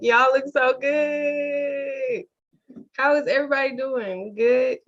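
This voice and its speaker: A woman's voice: high voice, fairly even intonation, takes it slowly, a bit bright. A woman from Mississippi, the school's owner hosting a live online open house in a chatty, upbeat way with lots of "um" and "like.". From Jackson, Mississippi, USA. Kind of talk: casual live presentation. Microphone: video call. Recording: slightly dull lo-fi call audio.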